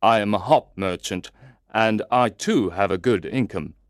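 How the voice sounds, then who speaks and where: A man narrating a first-person story in a slow, deliberate, carefully enunciated way, as if for language learners. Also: on the low side, dry recording, clean studio sound.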